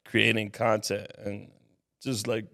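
A man's voice: on the low side, little pitch movement, slow, creaky, a bit bright. A man hosting a casual podcast interview, joking and laughing often with his guest. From Harlem, New York. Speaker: podcast host.

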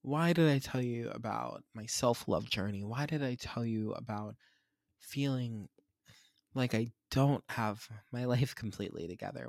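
A man's voice: mid-range voice, vocal fry, dry recording, studio quality. A man, hosting a solo podcast and reflecting in a candid, rambling, self-searching way. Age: 20s. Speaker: podcast host.

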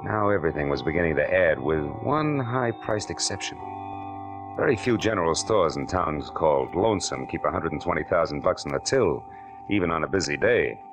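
An American actor playing a wry, hard-boiled private detective in a 1940s radio drama, sardonic and slangy in clipped phrases. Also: male voice, deep voice, lively intonation, fairly quick. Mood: wry, brooding. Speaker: lead actor and narrator. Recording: vintage radio drama with music behind.

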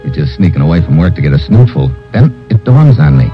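A sardonic American investigator from a vintage radio thriller. His voice is deep and tough, clipped yet full of swing. It comes from a dull old recording with music behind it. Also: sing-song, fast. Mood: brisk, wry narration. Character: hard-boiled, sardonic American investigator hero. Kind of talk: 1950s radio drama acting and first-person narration.

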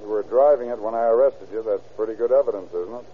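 An actor playing a firm, unflappable police officer with a clipped, dry, authoritative manner in an old radio crime drama. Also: male voice, lowish voice, fast, a little hiss or hum, lightly acted. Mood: dry, calm. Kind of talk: 1930s-40s radio crime drama acting. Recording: tinny, band-limited old radio broadcast.

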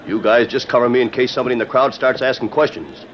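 An actor playing a cold western outlaw gang boss, curt and commanding, in an old radio drama. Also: male voice, medium pitch, fast talker, natural voice. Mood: menacing. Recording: muffled, noisy old radio recording.

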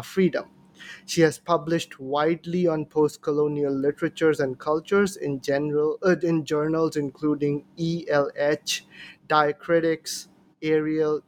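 A man with a fairly high voice speaks as a podcast host. His delivery is formal and courteous, like a prepared introduction. There is some faint noise behind him. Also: darkish tone. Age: adult, professor. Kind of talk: scripted introduction and interview hosting. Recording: noticeable background noise.

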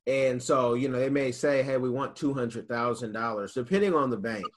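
A man's voice: mid-range voice, moderate pace. A man with an American accent hosting a casual interview, asking long, rambling questions in a conversational way. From United States. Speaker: interview host.

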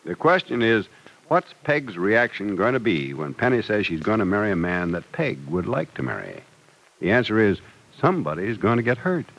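A man's voice: lowish voice, big pitch swings, moderate pace, a bit bright, exaggerated voice, poor audio. An American actor playing a wry, stubborn, suspicious man of about sixty in an old-time radio serial, with folksy phrasing. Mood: foreboding. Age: older. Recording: vintage radio recording.

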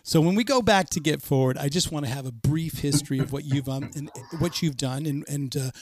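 A man's voice: a little high, fairly quick, a little mellow. A middle-aged man hosting a casual interview, enthusiastic and prone to stumbling restarts. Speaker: podcast host.

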